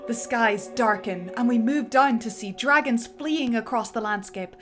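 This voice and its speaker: A woman's voice: fairly high pitch, measured pace, a bit bright. A woman narrating script directions in a dramatic reading, building up to the action beats, with music underneath.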